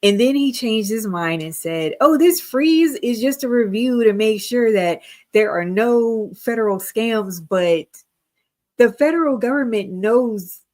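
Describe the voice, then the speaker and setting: A woman's voice: on the high side, expressive, measured pace, a little mellow. A woman co-hosting a casual live-stream discussion, explaining earnestly and checking her notes as she goes. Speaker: co-host.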